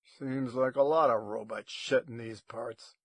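A man's voice: medium pitch, fairly even intonation, no room sound. Man playing a growling, menacing armed ranger. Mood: suspicious. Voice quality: growling, sometimes purring. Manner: slow, threatening. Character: menacing armed male ranger, righteous and suspicious.

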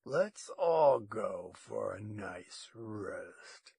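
A righteous, suspicious ranger, acted out by a male narrator, growling with slow menace. The audiobook voice sits close and dry, every phrase weighted like a threat. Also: lowish voice, expressive, gravelly, warm tone, husky, no room sound, voice pushed down, full character voice. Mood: sinister. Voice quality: growling, sometimes purring.

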